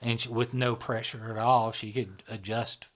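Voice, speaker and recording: A man hosting a casual conversation, telling stories in a loose, relaxed way and often tagging "right?" and "you know?" onto his sentences. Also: mid-range voice, moderate pace. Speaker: host.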